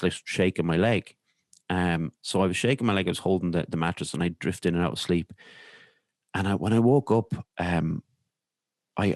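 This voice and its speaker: Irish guy on a podcast, low voice, kinda halting and reflective, real dry close sound. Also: darkish tone, dry recording. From Ireland. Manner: halting, reflective, repeats words and trails off. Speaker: podcast guest. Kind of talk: personal storytelling in an interview.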